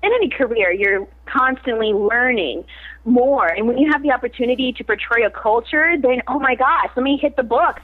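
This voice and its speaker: A woman actress in a casual interview, talking animatedly and laughing often. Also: sits high. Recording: tinny phone-like line.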